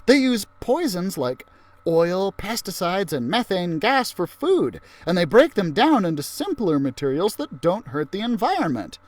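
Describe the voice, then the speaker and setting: A man's voice: high voice, very animated, a little slow, no room sound, pitched above the performer's own voice, exaggerated voice. A man voicing a bookish, studious schoolgirl in a children's storybook, informative and matter-of-fact.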